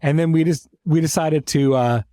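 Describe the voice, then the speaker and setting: A man's voice: fairly high pitch, moderate pace, warm tone, no echo. A man hosting a podcast and talking casually as a series creator, informal, with dry joking asides. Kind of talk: casual podcast introduction and conversation.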